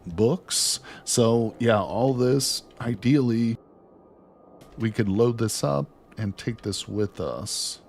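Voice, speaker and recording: A man streaming a video game, chatting excitedly to his viewers as he plays, losing his voice near the end of a long session. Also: mid-range voice, slow. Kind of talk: casual gameplay commentary.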